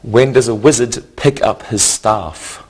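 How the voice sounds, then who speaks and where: Guy teaching english grammar, really slow and deliberate, breaks everything into short bits, normal pitch. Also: a little mellow, slightly rough. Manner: deliberate, didactic, spells out each point in short phrases. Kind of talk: slow, scripted grammar lesson.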